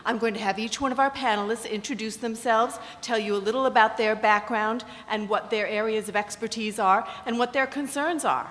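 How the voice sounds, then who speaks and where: A woman's voice: fairly high pitch, brisk, few pauses, a bit bright. A woman moderating a panel discussion, welcoming the audience and introducing panelists. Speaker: panel moderator, green building consultant. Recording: reverberant room, faint ambience.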